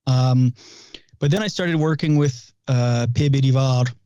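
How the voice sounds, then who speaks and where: A man's voice: mid-range voice, measured pace, warm tone, clean tone, no echo, muffled recording. A man in his thirties from New Brunswick, a French native speaker and comedian, chatting loosely in an interview with plenty of swearing and restarts. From New Brunswick, Canada. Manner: loose storytelling, swears freely, many restarts. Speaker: guest comedian. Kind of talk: casual interview chat.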